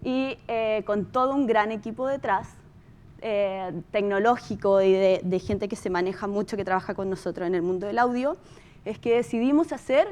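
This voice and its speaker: A woman's voice: medium pitch, normal speed, darkish tone. A Chilean woman speaking as a producer, telling a story in an informal, conversational presentation with emphatic repetition. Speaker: podcast producer giving a talk.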